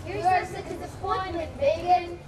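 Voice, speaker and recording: A woman's voice: very high voice, moderate pace, recorded from a distance, over a noisy crowd. A young woman on stage playing a cruel, taunting voice that mocks a schoolgirl, in amateur play style. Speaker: student actor in a school play. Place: echoey hall, distant microphone. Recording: echoey, noisy stage recording from afar.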